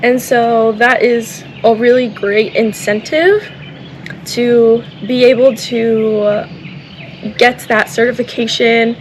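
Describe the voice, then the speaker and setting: A woman's voice: on the high side, slight background noise, poor audio. Upbeat woman vlogging, faint ambience. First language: English. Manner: upbeat, enthusiastic, repeats "awesome". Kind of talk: casual vlog talk to camera.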